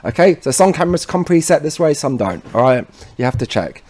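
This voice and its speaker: Male tutorial presenter, relaxed mellow tone, a little higher than average, informal and chatty instructional delivery. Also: a little fast. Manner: informal, chatty, frequently checks in with "okay". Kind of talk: casual instructional walkthrough.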